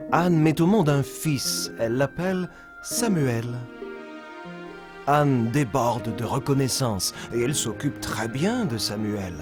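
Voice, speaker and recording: Lively male storyteller over soft music. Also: medium pitch. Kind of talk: scripted children's Bible story narration.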